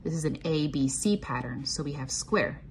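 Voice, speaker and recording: A woman reading a picture book aloud to children and explaining it simply, in a sing-song, teacherly way with clear enunciation. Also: low-pitched, expressive, normal speed, a little hiss or hum. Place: indoors, at a table.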